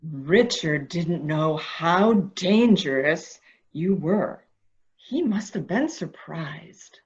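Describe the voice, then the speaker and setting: A woman's voice: sits low, extremely slow, exaggerated voice. A woman playing a coaxing, anxious character who humors a madman in a theatrical murder mystery drama, recorded with muffled sound. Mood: gently probing. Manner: soft questioning, flattering, theatrical. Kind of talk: amateur murder mystery audio drama acting.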